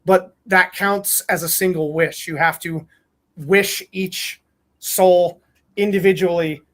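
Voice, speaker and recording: A man's voice: high-pitched, steady tone, slow pace, fairly bright tone. A man hosting a podcast, reading fan fiction aloud and chatting casually. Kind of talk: casual read-aloud and group chat.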